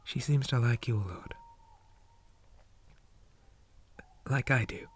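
A man playing a shy, polite zombie boy in an ASMR roleplay, speaking softly, often in whispers, hesitant and flustered with old-fashioned manners. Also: medium pitch, a little fast, lightly acted. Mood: shy, tender. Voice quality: soft, breathy, often whispered.

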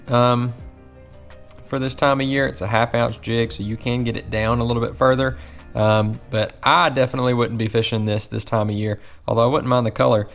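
Young male host, casual tackle-review delivery, loose and chatty, soft music bed. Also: medium pitch. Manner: relaxed, opinionated, many ums and restarts. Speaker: fishing channel host. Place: home room with fishing gear.

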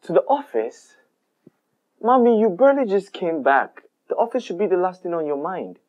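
A man's voice: sits high, big pitch swings, fast, highs cut off. A man with a Nigerian accent playing an easygoing young boyfriend who brushes off quarrels and apologizes to his mother. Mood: apologetic. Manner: placating, laughing things off.

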